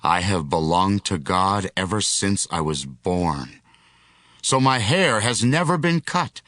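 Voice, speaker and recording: A strong male hero of an ancient tale. His voice is low and swings widely in pitch. He draws out his words deliberately in a close, dry recording. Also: very animated, fairly bright tone, dry recording, exaggerated voice. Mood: weary, confiding. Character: strong male biblical hero, slow and teasing. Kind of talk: audio Bible drama acting.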